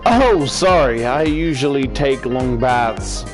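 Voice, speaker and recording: A man's voice: mid-range voice, animated, slow. A man with an Australian accent voicing a breezy, unbothered young woman from a visual novel. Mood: casual, unbothered. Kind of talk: visual novel dialogue read aloud. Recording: game music behind the voice.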